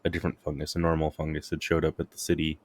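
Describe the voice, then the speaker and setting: A man's voice: very deep voice, monotone, rapid-fire. A man giving casual gameplay commentary as a streamer, muttering and thinking aloud. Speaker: game streamer.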